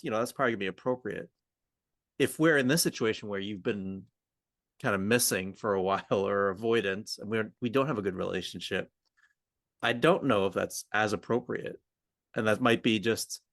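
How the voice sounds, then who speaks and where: Male co-host for a coaching podcast: lower register, very dry sound, informal, works out thoughts mid-sentence. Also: moderate pace, a bit bright, dry recording. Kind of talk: conversational coaching discussion with roleplay.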